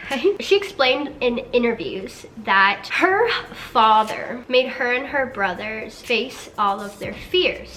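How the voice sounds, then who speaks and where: A woman's voice: on the high side, fairly bright tone. A young woman vlogger, nasal and congested from a head cold, chatting casually to her viewers while telling a story. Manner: chatty, upbeat, talks straight to viewers. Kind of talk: casual get-ready-with-me storytelling.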